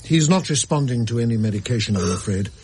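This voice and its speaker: A brisk, courteous doctor, played by a man, his pitch moving a lot. He talks professionally in an old radio play, with clear hiss behind. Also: expressive, close to an everyday voice. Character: calm, polite family doctor. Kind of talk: radio drama acting.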